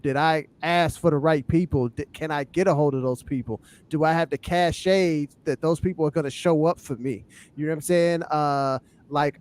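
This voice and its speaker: Measured man talking hip hop, slightly high voice. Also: a little mellow. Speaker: podcast panelist. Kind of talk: casual hip hop discussion.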